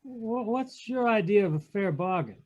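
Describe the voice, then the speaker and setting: A man's voice: sits high, animated, moderate pace, darkish tone, highs cut off. A man playing a skeptical, slangy tough private detective in a 1940s-style radio drama read over a video call. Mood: wry, probing. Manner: casual, clipped, slangy.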